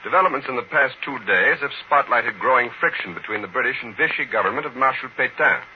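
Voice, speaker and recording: A muffled old radio recording with light noise carries an American man's voice. He reads the news formally in a measured 1940s broadcast style, at a medium pitch. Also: expressive.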